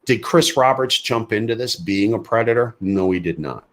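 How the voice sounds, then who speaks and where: A man's voice: lowish voice, moderate pace. A man co-hosting a podcast in an opinionated, casual discussion, emphatically asking and answering his own questions. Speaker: podcast co-host.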